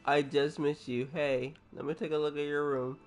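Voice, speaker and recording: A man's voice: a little high, little pitch movement, normal speed. A man chatting casually and jokingly in slang as he streams a video game. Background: game music.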